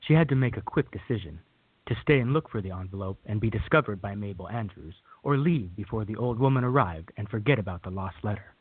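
Male narrator for radio theater, lowish and husky, scripted read, close and dry. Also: lively intonation, fast talker, no echo. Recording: tinny, phone-like narrow band.

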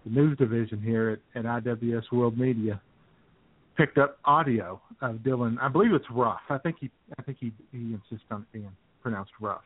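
A man's voice: mid-range voice, moderate pace, breathy edge, dry recording. A man co-hosting an internet radio show, bantering casually and sarcastically about the news over a thin, phone-like sound. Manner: sarcastic, joking.

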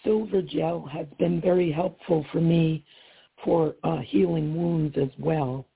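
A hoarse woman caller speaks slowly and hesitantly, her voice raspy and gravelly. She is heard over a thin, tinny phone line. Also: deep voice, steady tone. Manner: slow, hesitant, many ums.

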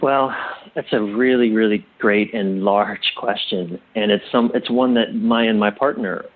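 A man being interviewed as a guest, explaining things like a coach in a thoughtful, halting way and repeating phrases for emphasis. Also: on the low side, fairly even intonation, phone-quality sound, a little hiss or hum.

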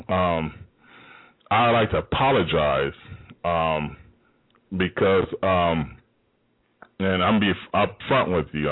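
A man with a very deep, low voice hosts a radio talk show, rambling and stopping to restart his sentences. He is heard over a thin cell phone line. The sound is dry, with no room behind it. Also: no room sound. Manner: rambling and halting, with many fillers and restarts. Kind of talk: casual call-in talk show hosting.

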